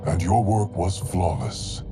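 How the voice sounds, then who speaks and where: A man's voice: low voice, lively intonation. An actor playing a grave, ancient male keeper of time in a game cutscene. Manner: grave, deliberate. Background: orchestral game music.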